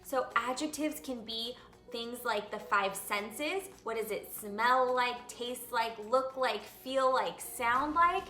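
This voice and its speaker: A woman's voice: fairly high pitch, slow pace, pushed and bright. A woman hosting a read-aloud lesson for young children, speaking to them directly in simple words, as a teacher reading a picture book. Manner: addresses children directly with simple explanations and questions.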